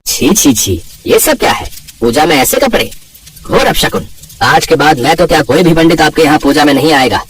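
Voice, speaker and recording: Man playing a pompous, husky, scandalised priest. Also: fairly high pitch, lively intonation, normal speed, fairly bright tone, recorded from a distance. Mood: disgusted, indignant. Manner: indignant, pompous.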